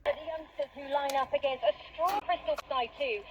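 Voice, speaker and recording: A dull radio speaker with faint noise carries a woman's high voice. She narrates a scripted sports preview in the role of a broadcast reporter. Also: moderate pace. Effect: heard through a radio speaker.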